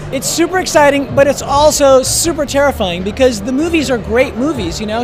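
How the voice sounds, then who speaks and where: A film director giving an enthusiastic, rambling red carpet interview, talking with restarts and a lot of excitement. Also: high voice. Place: outdoor premiere red carpet. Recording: outdoor premiere with loud crowd and music.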